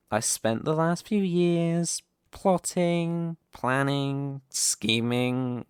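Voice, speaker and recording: A man playing a possessive, scheming young mafia boss in an ASMR roleplay, speaking in a deliberate, condescending, theatrical way. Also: mid-range voice, dry recording, clean studio sound, lightly acted.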